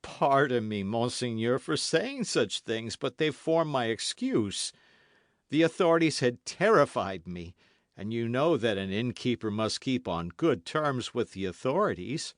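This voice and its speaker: A man's voice: mid-range voice, animated, measured pace, dry recording, clean studio sound, voice pushed up, close to an everyday voice. A man reading aloud, voicing a frightened innkeeper who pleads pitifully for mercy. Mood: pleading. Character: trembling, pleading middle-aged male innkeeper.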